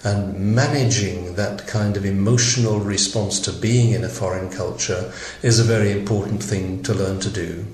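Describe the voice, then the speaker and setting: A man's voice: fairly low pitch, steady tone, moderate pace, darkish tone, echoey room. A man from Wales, a professor, giving thoughtful interview answers with small restarts.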